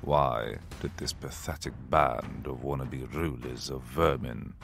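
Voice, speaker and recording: An actor playing an arrogant, menacing dragon in humanoid form in a fantasy audio drama, pompous and grandiose, with a gravelly voice. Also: male voice, extremely low pitch, fairly even intonation, a little slow, a bit bright, faint music behind, heavily acted. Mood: imperious.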